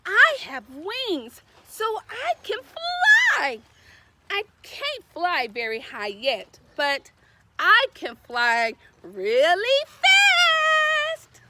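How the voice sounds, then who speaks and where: A woman's voice: extremely high pitch, huge pitch swings, very slow, very noisy, pitched above the performer's own voice, exaggerated voice. A woman voicing a boastful, playful little fairy girl from a picture book, with childlike, theatrical emphasis. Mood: proud, excited. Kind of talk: picture-book read-aloud.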